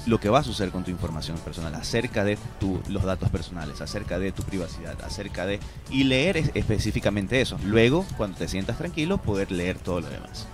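A man's voice: low voice, lively intonation, fast, few pauses, music in the background. A man in his thirties explaining things with examples as an expert guest in a radio studio interview. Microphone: studio broadcast microphone.

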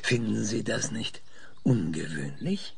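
Male actor for older obsessive scientist, audio drama: stammering, pedantic, gravelly, faint noise behind. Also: lowish voice, lively intonation, slow pace, exaggerated voice. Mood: pedantic, hesitant. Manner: hesitant stammering, pedantic, insistent. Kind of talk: German audio drama acting.

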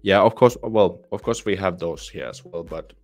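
A young Finnish man with a very low voice and a Finnish accent hosts a relaxed live Q&A from a small home room, speaking into a studio mic. He sounds tired and talks in a halting way, restarting his sentences. Faint music plays behind him. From Finland. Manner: relaxed, halting, with many restarts and soft laughs. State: tired, yawning. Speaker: livestream host. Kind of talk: casual live Q&A, reading viewer comments. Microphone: studio microphone on boom arm, headphones on.

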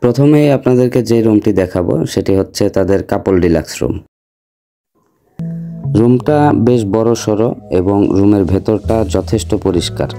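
A man's voice: on the low side, normal speed, warm tone, faint music behind. A man in his thirties with a Bangladeshi accent, a travel vlogger narrating a hotel review and explaining things to viewers.

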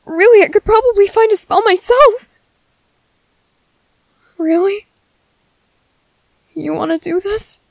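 Woman voicing a gentle, slow-spoken young merman. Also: extremely high pitch, creaky, dry recording, heavily acted. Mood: reassuring. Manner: soft, halting, soothing delivery. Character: gentle, earnest young merman, tender and reassuring. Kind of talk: ASMR roleplay acting.

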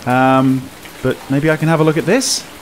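A man's voice: medium pitch, fairly even intonation, normal speed. A man in his thirties giving casual, wryly understated commentary as host of a gameplay video. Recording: very noisy game ambience behind.

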